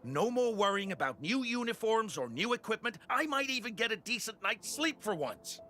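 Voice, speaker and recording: A man's voice: high voice, big pitch swings, normal speed, pushed and bright, soft background music, full character voice. An actor playing an eager, insistent young male worker in a video game. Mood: insistent, hopeful. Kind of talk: anime game voice acting.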